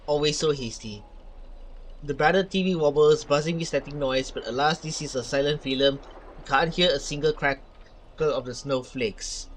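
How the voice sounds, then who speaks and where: A man's voice: fairly high pitch, normal speed, slight background noise, low-quality recording. A man reading a video game's story aloud in a theatrical way as he plays. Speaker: gaming streamer. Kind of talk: reading game dialogue aloud while reacting.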